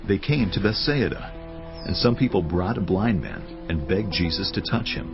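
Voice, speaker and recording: Deep creaky bible narrator, solemn and measured, music going behind. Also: male voice, lively intonation. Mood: solemn storytelling. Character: grave male Bible narrator. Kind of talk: scripted dramatic scripture narration. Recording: dull sound under prominent music.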